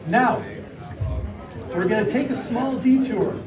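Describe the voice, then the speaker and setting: A soft-voiced man giving a chatty, playful live demonstration to an audience as a contestant. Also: very high voice, slow. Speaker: cocktail contestant. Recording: echoey distant mic, crowd chatter behind.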